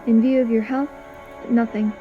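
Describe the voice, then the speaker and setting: A woman playing a grave, merciful Victorian male detective in an amateur audio drama, speaking in a measured, formal way. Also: a little high, level tone, lightly acted. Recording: dull lo-fi recording, music behind.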